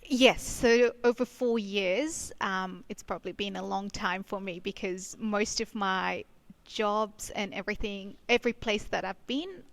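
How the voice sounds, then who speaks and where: A woman's voice: mid-range voice, unhurried, vocal fry, few pauses. A woman in her thirties from Kenya, a speech pathologist, chatting as an interview guest with lots of fillers and restarts. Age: 30s. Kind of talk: casual interview chat. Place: regional town in Australia.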